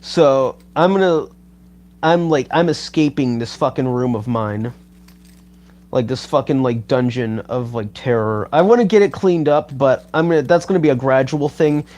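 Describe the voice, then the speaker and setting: A man's voice: mid-range voice, a little mellow. Rambling young man swearing on stream. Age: 20s. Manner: rambling, halting, heavy on filler and swearing. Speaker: YouTuber reading live chat. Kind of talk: rambling casual livestream talk.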